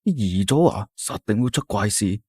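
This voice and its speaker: A jittery, superstitious young villager from a Cantonese storybook, as a male narrator puts him on, his voice swinging widely from a hush to a shrill squeal. He speaks with a Cantonese accent, dry and close to the mic. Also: lively intonation, moderate pace, breathy edge, no echo. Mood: hushed warning.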